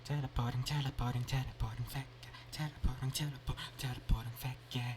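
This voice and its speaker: A man's voice: mid-range voice, flat delivery, fairly quick, very noisy. A man casually commenting on a game live stream, playful and given to repetitive chanting. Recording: loud music behind the voice.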